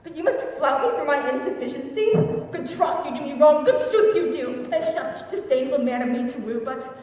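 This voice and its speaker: A woman plays a lovelorn, self-pitying young woman who speaks verse wryly and bitterly. Her voice is very high, and it sounds far from the mic in a large room, with a long hall reverb trailing behind it. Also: animated, a little fast, a little mellow, highs cut off. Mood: indignant. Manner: rueful and wry, turning to indignant reproach. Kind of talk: Shakespearean stage acting. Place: large hall or theatre stage. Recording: distant, echoey stage recording in a large hall.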